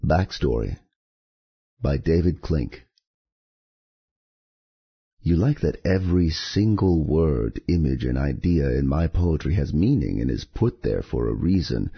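A man hosting a poetry podcast, reading scripted material in a measured, deliberate way with a husky voice. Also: extremely low pitch, highs cut off. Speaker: podcast host and poetry reader.

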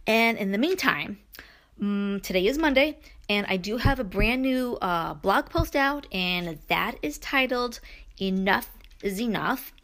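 A woman's voice: mid-range voice, fairly bright tone. A woman from Brooklyn hosting her own podcast, talking alone in a chatty, rambling way with many ums and restarts. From Brooklyn, New York. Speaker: podcast host and author. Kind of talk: solo podcast monologue.

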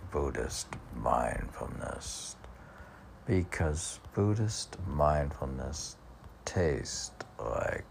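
A man reading poetry informally, halting and musing, talking back to himself in a gravelly voice. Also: low-pitched. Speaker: poet reading own work and commenting. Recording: noticeable background noise.

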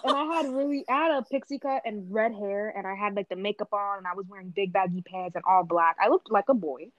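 A young woman co-hosting a podcast, telling stories in an excitable, slangy way. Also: mid-range voice, fast talker, dry recording, muffled recording. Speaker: podcast co-host. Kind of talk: casual chat.

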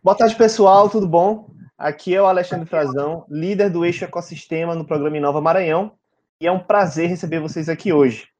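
A man's voice: on the high side, fairly quick, background noise. A man with a Brazilian Portuguese accent hosting a podcast in an informal, chatty way, checking in with frequent tag questions. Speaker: podcast host. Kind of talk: casual conversational podcast hosting.

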